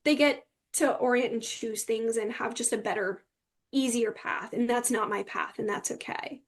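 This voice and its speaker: A young woman speaks as a guest in a conversational interview, sharing personal testimony. Her voice sits fairly high and carries a lot of creaky fry. She talks in an earnest, emphatic way. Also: fairly even intonation, normal speed.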